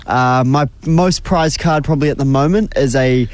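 A man's voice: medium pitch, normal speed, no room sound. A young man on a sports radio panel, talking casually and a little hesitantly. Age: young adult. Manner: hesitant, with restarts.

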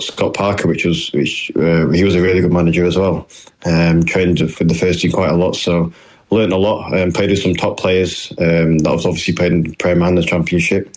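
A man's voice: sits low, a little mellow, rough recording. A young man with a northern English accent, a footballer answering interview questions politely and hesitantly, with many fillers. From New Zealand. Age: young adult. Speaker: footballer guest.

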